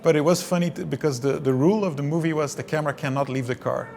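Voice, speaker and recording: Gravelly Belgian man, halting speech. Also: a little high, fairly even intonation, a little mellow, slight background noise. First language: Dutch. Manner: hesitant, many restarts and fillers. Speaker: film director. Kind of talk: interview answers.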